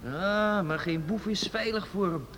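A man's voice: high voice, measured pace, fairly bright tone, faint music behind. An actor playing a jovial, teasing young man eager for adventure in a radio drama. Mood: playful. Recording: reverberant old radio recording.

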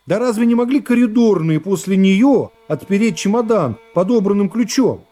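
A man's voice: high-pitched, lively intonation, moderate pace, warm tone, voice pushed up. A man voicing an irritated, argumentative male juror who presses his objections insistently in a literary radio reading.

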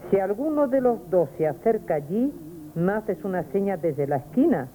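A woman's voice: medium pitch, sing-song, normal speed, mellow, natural voice. An actress with a Spanish accent playing a scheming, anxious, domineering working-class Madrid woman in a radio drama. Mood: uneasy, conspiring. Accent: Spanish, from Spain. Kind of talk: 1970s Spanish radio theatre acting. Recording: noisy old radio broadcast.

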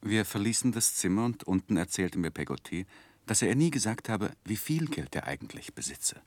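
An actor playing an earnest young gentleman in a 1960s radio play, speaking and narrating in a measured, polite way. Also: fairly low pitch, sing-song, no echo. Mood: reflective. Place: dry radio studio.

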